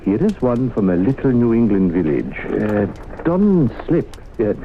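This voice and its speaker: An actor playing a grandiose, self-assured traveling professor, speaking pompously and formally in old-time radio drama style. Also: male voice, medium pitch, very animated, a little slow. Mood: smooth, persuasive. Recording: noisy, phone-like old radio broadcast.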